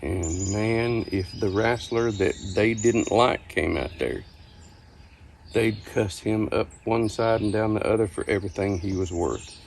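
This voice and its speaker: An older man reminiscing casually, telling stories in a folksy, unhurried, halting way. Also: lowish voice, gravelly, a little hiss or hum. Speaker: storyteller. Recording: outdoor ambience with birdsong behind. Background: birdsong, outdoor ambience.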